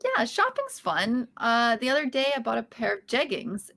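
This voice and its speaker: A woman's voice: a little high, wildly swooping pitch, moderate pace, gravelly, a bit bright, exaggerated voice. A woman reading the part of a quirky, upbeat young woman at a podcast table read, with a bubbly, playful manner. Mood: coaching.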